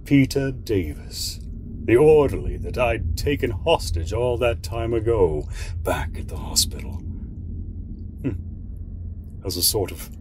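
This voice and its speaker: An actor playing a fearful, scheming escaped convict in an audio horror drama, with a deliberate confessional manner. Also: male voice, medium pitch, lively intonation, darkish tone. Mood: brooding confession. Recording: clearly noisy background.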